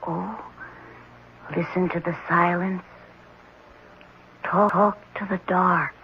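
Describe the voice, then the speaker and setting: A woman playing a quiet, dreamy boy of ten in a 1970s radio drama, with a childlike voice and a slow, wondering delivery. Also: fairly low pitch, fairly even intonation. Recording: muffled, faintly noisy old radio recording.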